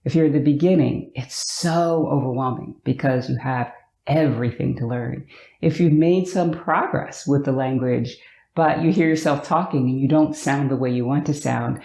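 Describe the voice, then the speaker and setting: An upbeat, expressive American woman from Virginia, talking to the camera in a vlog and drawing out words for emphasis. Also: very deep voice, creaky. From Virginia, USA. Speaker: vlogger. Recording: echoey room.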